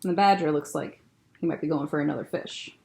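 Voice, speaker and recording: A woman who is a children's librarian, reading a picture book aloud to children in a gentle, expressive way and pointing out the pictures. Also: low voice, quick pace, vocal fry.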